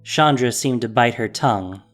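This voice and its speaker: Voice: medium pitch, moderate pace, faint music behind. A narrator reading a scripted fantasy story in a dramatic storytelling style.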